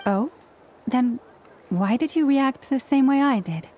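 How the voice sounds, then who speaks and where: Serene, slow-spoken priestess. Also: female voice, high voice, animated, darkish tone, slight background noise. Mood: composed. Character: serene, gracious priestess, softly formal and composed. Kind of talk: scripted video game dialogue.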